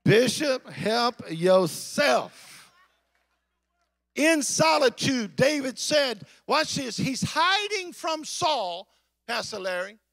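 A man preaching an impassioned sermon to a congregation in an emphatic, declamatory way, repeating phrases for effect, with a gravelly voice. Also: extremely high pitch, sing-song, slow pace, a bit bright, dry recording, studio quality.

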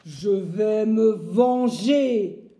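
A woman voicing a big, blustering, angry male woodcutter who shouts and growls threats. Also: very high voice, fairly even intonation, measured pace, reverberant, pitched above the performer's own voice. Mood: growling threats.

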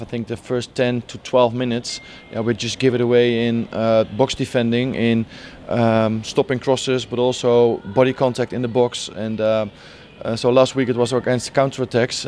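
A man in his forties speaks in a level, flat voice with little pitch movement, pausing often and restarting sentences as he searches for words. He stands outdoors by the pitch at a stadium, and a faint outdoor hum sits beneath his voice. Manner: halting, many fillers and restarts. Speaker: football head coach. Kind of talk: post-match interview.

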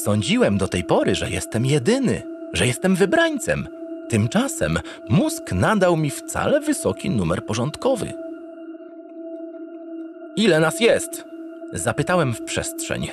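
A man's voice: fairly high pitch, huge pitch swings, unhurried. A man narrating a comic first-person audio drama in a theatrical, mock-pompous manner. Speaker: narrator in character as a starship captain. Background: music.

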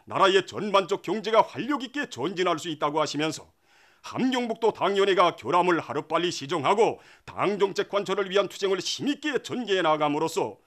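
A man's voice: high voice, expressive, a little fast, fairly bright tone. A man with a North Korean accent reading scripted state television news narration in a solemn, reverent, declamatory manner.

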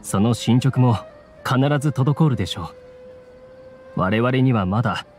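A man's voice: medium pitch, measured pace, faint music behind, close to an everyday voice. A man voicing a dutiful, courteous officer in a Japanese video game, speaking formally and earnestly. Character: dutiful, courteous male officer reporting to superior.

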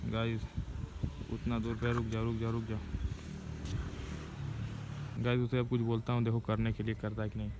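A man's voice: fairly low pitch, monotone, moderate pace, long pauses. A man giving a casual hands-on tutorial as host of a DIY video, recorded on a phone. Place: open concrete rooftop outdoors. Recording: noisy outdoor recording with cawing crows. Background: loud outdoor ambience, crows cawing.

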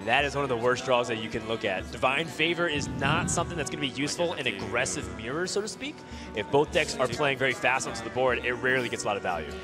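A man's voice: mid-range voice, lively intonation, fast talker, over music. A man giving live commentary as an esports commentator at a video game tournament.

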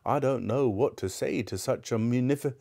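A man doing a startled portly old gentleman. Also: mid-range voice, moderate pace, gravelly. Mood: astonished. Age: older. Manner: surprised, fluttery exclamations.

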